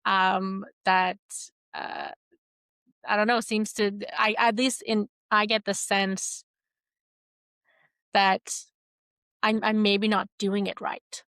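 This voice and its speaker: A woman host born in Iran and raised in Canada, speaking in a measured, hesitant way as she thinks aloud. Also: medium pitch, level tone, a bit bright, clear, resonant tone, no room sound. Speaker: podcast host. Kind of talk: reflective conversation.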